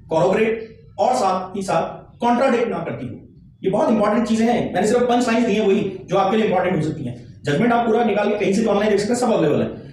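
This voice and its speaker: A man's voice: high voice, a little mellow. A man giving an explanatory legal lesson, emphatic and repeating his key points. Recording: very echoey, distant mic, faint noise.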